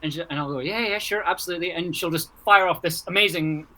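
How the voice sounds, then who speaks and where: A man's voice: fairly high pitch, quick pace, bright, forceful tone. A man chatting casually as a livestream guest, starting hesitantly and speaking in a self-deprecating, admiring way over a video call. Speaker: guest, writer.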